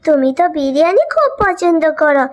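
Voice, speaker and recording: A woman's voice: very high voice, sing-song, fairly quick. A woman voicing a sweet, eager young village girl in a cartoon, cheerful and innocent. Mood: eager, pleased. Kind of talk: cartoon child acting. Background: music.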